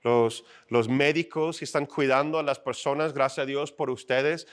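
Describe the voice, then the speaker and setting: A middle-aged man preaching a sermon to his church in a chatty, animated way, acting out short scenes and checking in with his listeners. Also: medium pitch, normal speed, clear, resonant tone. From outside Mexico. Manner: conversational, animated, acts out little scenes and asks "¿no?". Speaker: pastor. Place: church stage.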